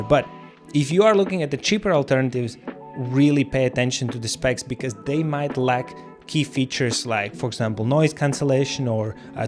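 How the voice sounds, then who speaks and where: A man with a medium-pitched voice presents a gear review as a channel host. He speaks casually and explains things step by step, addressing viewers directly. Soft music plays behind his voice. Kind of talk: casual scripted product review to camera. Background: background music.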